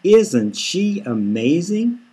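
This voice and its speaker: A man's voice: high-pitched, big pitch swings, extremely slow, mellow, breathy edge, voice pushed up, exaggerated voice. A man voicing an easygoing, boastful male hustler with a casual, chummy manner in an audiobook.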